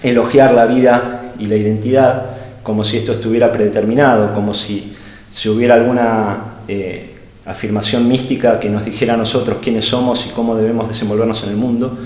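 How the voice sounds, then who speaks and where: A man's voice: lowish voice, little pitch movement, a little fast, a little mellow. A man giving an unscripted philosophical talk, hesitating often and searching for words with fillers and restarts. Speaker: speaker at an art-gallery think tank. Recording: echoey hall with long reverb.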